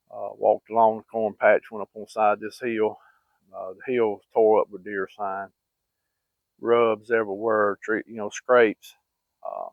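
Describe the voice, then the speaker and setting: A man's voice: lowish voice, no echo. Folksy man spinning a yarn. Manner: rambling, folksy, many fillers and restarts. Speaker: channel host telling hunting stories. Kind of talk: casual storytelling. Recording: dry, phone-like sound.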